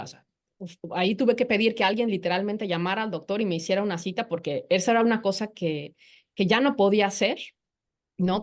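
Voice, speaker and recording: A woman psychologist, a native Spanish speaker, talking informally and explaining things through personal storytelling on a podcast. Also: medium pitch.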